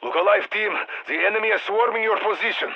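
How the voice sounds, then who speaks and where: A man playing a gruff Russian squad commander in a video game, giving orders with dry, wry asides. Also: sits high, fast talker, lo-fi sound. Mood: commanding, urgent. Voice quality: gravelly, husky. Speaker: mission commander giving radio briefings. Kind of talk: scripted video game voice lines. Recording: band-limited military radio sound.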